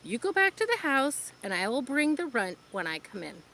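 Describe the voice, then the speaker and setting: A woman voicing a farmer father who speaks gently but firmly to his daughter. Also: high-pitched, very animated, normal speed, slight background noise, pitched above the performer's own voice, heavily acted.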